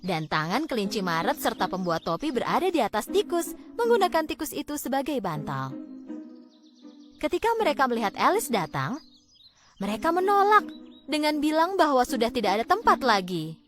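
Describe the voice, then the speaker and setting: A woman narrating a scripted fairy tale for children, expressively and with deliberate phrasing. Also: high voice, sing-song. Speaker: storyteller. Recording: background music.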